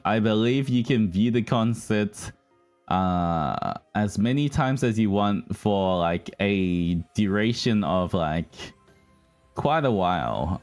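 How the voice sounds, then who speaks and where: A man's voice: fairly low pitch, a little mellow. A man hosting a livestream, chatting casually and in a rambling way to his viewers, whom he addresses as guys. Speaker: livestream host, virtual streamer. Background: background music.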